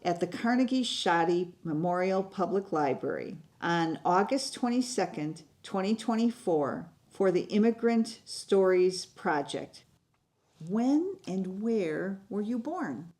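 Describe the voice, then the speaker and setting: A woman interviewing a guest for an oral history project at a library, asking plain, measured questions. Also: mid-range voice, expressive, darkish tone. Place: public library.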